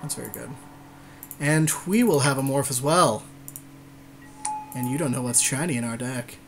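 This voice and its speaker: Mid-pitched man bantering over a game. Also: moderate pace, gravelly, soft background music. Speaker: co-host of a card game podcast. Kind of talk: casual game commentary and banter.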